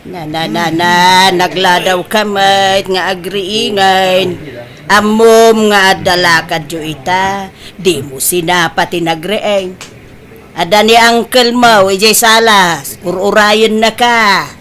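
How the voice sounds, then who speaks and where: A woman's voice: mid-range voice, takes it slowly, fairly bright tone. A woman with an Ilocano accent playing a patient, advising mother in a comedy radio-style drama, coaxing and admonishing. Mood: nagging.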